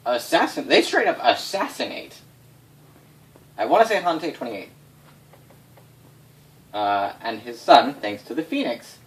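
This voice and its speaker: A man recounting game lore to his players in a casual, off-the-cuff way, swearing freely and stressing words for drama. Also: mid-range voice. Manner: sweary, animated, dramatic emphasis. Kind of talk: casual off-the-cuff history telling. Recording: distant mic, noisy room ambience.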